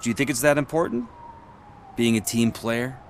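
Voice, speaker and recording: Polished politician, lively intonation. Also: male voice, mid-range voice, normal speed, creaky, a little hiss or hum. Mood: courteous. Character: polished, ambitious male civic politician. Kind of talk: video game dialogue acting.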